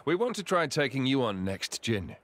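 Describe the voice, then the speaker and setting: An actor playing a noble, earnest young prince in a video game, courteous, encouraging and polished in delivery. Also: male voice, mid-range voice, sing-song, moderate pace, fairly bright tone. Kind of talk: video game cutscene acting.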